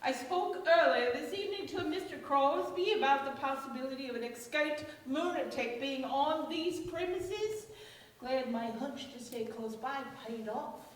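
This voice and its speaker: A woman's voice: sits high, moderate pace, close to an everyday voice. A woman playing a firm, scheming female accomplice in a staged radio play, speaking with insistent command. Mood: calm, authoritative. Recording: very echoey, distant stage mic.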